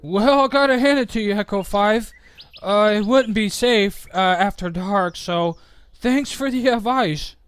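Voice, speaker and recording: A man playing a kindly, reassuring inventor with a gentle, folksy manner in a scripted game roleplay. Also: high-pitched, measured pace, clean tone. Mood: friendly. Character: kindly, reassuring male inventor and household head. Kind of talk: scripted Minecraft roleplay acting.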